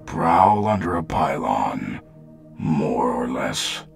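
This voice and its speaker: Very deep, gravelly robot leader, played by a man, formal, slow and earnest. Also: very animated, music in the background, exaggerated voice. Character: solemn idealistic robot leader, male. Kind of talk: fan comic dub.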